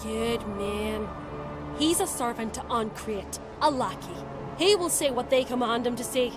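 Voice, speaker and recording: A woman's voice: a little high, measured pace, over music, lightly acted. An actress playing a haughty, scheming middle-aged noblewoman in a fantasy game, speaking with imperious scorn. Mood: scornful, indignant. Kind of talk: dramatic fantasy game acting. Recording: dramatic score behind dialogue.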